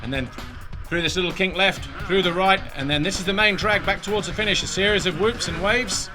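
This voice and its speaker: A man giving brisk, excited play-by-play commentary on a motocross race as a studio voice-over. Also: sits high. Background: music bed.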